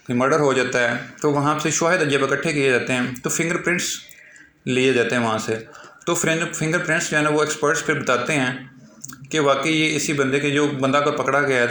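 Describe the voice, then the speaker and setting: Male law lecturer, Pakistani accent, mid pitch. Repetitive, step-by-step explainer, halting on read-aloud text. Echoey room. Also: a bit bright, slight background noise. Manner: slow, halting when reading English aloud. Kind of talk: explanatory lecture, reading out articles then explaining.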